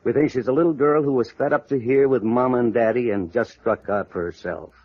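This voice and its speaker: Actor as gruff, weary American detective boss, muffled. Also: male voice, medium pitch, brisk, close to an everyday voice. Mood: matter-of-fact. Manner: blunt, grumbling, hard-boiled. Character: gruff, weary, prickly bald male detective agency boss. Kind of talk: 1950s American radio noir acting. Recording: muffled vintage radio recording.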